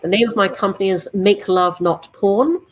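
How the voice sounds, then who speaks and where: A woman in her sixties, originally from England, introducing her company on a video-call panel in a measured, emphatic way. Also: mid-range voice, a little hiss or hum. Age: 60s. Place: apartment living area.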